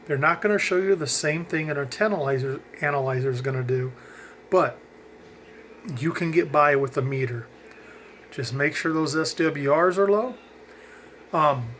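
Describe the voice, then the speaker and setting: A man's voice: fairly high pitch, fairly bright tone, a little hiss or hum. A man in a casual how-to vlog, chatting about his radio setup with lots of ums and self-corrections. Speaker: hobby radio vlogger.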